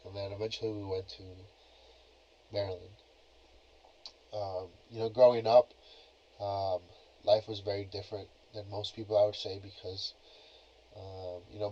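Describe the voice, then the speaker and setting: A young man talks on his own podcast in a relaxed, rambling way, leaning on filler phrases as he goes. His voice sits on the low side. It comes through a cheap plug-in mic that sounds dull and lo-fi. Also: normal speed, a little hiss or hum. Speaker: podcast host. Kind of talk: casual solo podcast talk. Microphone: cheap plug-in computer microphone.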